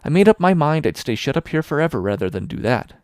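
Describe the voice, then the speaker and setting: A man's voice: on the high side, very animated, fast talker, a little mellow, clear, resonant tone, no room sound, clean studio sound, voice pushed up. Man putting on a gushing orphan girl. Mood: earnest. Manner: gushing, theatrical pleading. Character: dramatic imaginative orphan girl, about eleven.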